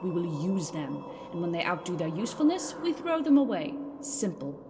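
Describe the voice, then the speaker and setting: An actress playing a cruel, haughty dark-elf warrior in a fantasy audio drama, mocking and condescending. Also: female voice, on the low side, sing-song, moderate pace, background noise. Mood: cool disdain. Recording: long hall reverb, music bed underneath. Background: music.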